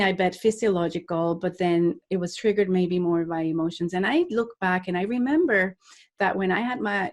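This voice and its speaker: A woman, a life coach talking earnestly and reflectively as a podcast guest. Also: lowish voice. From Puerto Rico. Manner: earnest, reflective, with frequent restarts and laughs. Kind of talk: podcast interview.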